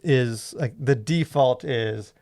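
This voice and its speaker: A man's voice: medium pitch, takes it slowly, creaky, darkish tone. A man co-hosting a casual podcast, talking in a wry, jokey way with rambling asides. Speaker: podcast co-host. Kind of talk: casual conversational podcast commentary.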